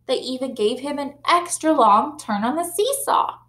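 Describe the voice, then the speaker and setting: A young woman teacher reading a picture book aloud to young children, animated and sympathetic, on a close webcam in a classroom. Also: high-pitched, moderate pace. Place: classroom, indoors. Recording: roomy classroom echo.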